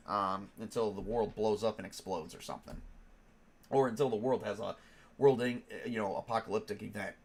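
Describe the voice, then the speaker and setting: A man with a cold, stuffed up, chatting casually as a tabletop game master on a stream, rambling with many fillers and restarts. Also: medium pitch. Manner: rambling, lots of ums and restarts. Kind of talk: casual live-stream chat.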